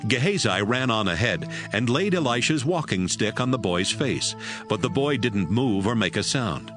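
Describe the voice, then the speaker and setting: A man's voice: medium pitch, expressive, normal speed, over music. A man narrating a dramatized Bible reading as a scripted storyteller.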